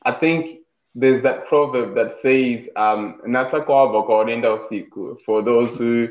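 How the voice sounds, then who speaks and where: A man's voice: mid-range voice, unhurried. A man hosting an interview, encouraging and chatty. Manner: encouraging, frequent affirmations. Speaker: interview host. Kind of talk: casual interview chat. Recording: echoey room, dull lo-fi sound.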